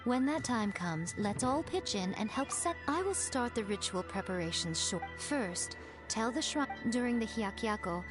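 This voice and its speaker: A woman's voice: fairly high pitch, animated, moderate pace, natural voice. Woman playing a sly, teasing fox shrine maiden. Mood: coy, teasing. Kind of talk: anime game voice acting. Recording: background music under the voice.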